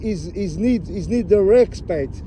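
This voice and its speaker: Portuguese guy with a really high voice chatting in broken english, lots of street noise. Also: expressive, unhurried, dark tone. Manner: broken English, effusive and friendly. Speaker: taxi passenger. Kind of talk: casual chat. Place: inside a car on a city street.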